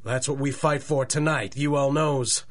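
A man's voice: mid-range voice, steady tone, moderate pace, lightly acted. An actor playing a callous, boastful 1930s gangster boss with tough-guy slang delivery, in old-time radio drama style. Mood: menacing, bitter.

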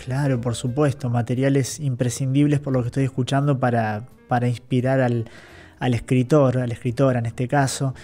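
Mellow male host. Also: medium pitch, flat delivery, moderate pace, soft background music. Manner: brief, encouraging interjections. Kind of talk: interview. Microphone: studio mic with headphones.

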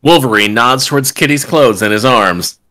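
A man's voice: medium pitch, normal speed. A man reading a film script aloud with sarcastic, joking asides on a video call. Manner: animated, sarcastic. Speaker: table-read host reading stage directions. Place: home room, indoors, video call.

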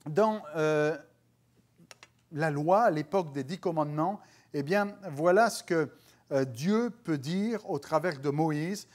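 A man in his thirties with a French accent preaches from a church stage before dark curtains. His voice rises with emphasis whenever he quotes scripture. He speaks into a headset mic. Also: fairly high pitch, slow pace. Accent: French (France). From France. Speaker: pastor. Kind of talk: sermon.

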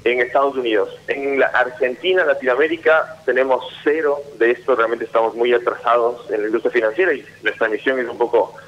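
Ecuadorian accent, young man, measured and explanatory, slightly dull broadcast sound, faint hum. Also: medium pitch, steady tone. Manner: measured, explanatory, repeats small words. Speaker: startup founder guest. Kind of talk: explanatory interview answers. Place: radio studio. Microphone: studio boom mic.

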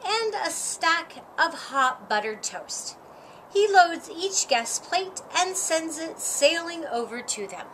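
Bright, high-pitched woman reading a storybook. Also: a little hiss or hum, poor audio. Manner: animated, acts out the dialogue. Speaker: storytime reader. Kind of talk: expressive children's picture-book read-aloud. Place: outdoor patio with lattice and ivy.

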